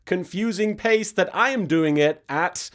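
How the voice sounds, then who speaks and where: A man's voice: high voice, unhurried. A man hosting a comedic recap show, giving sarcastic commentary with exasperated rants. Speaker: host of anime recap show.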